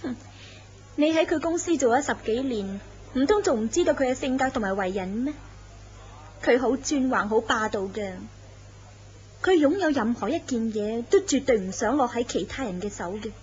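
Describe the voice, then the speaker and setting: A sly, knowing mistress, voiced by a woman in an old-style horror radio play, teasing her companion with soft laughs. Her voice sits fairly high. The recording is dull and lo-fi. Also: lively intonation, moderate pace, a little hiss or hum, lightly acted. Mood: ominous. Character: scheming young mistress of a jealous tycoon. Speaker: actress in a horror radio drama. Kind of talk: radio drama acting.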